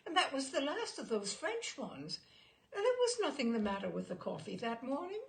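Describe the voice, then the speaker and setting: A woman's voice: fairly high pitch, very animated, moderate pace, gravelly. A woman voicing a chatty, unflappable wife who insists and justifies herself in a story reading. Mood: reproachful. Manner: rambling, insistent, self-assured.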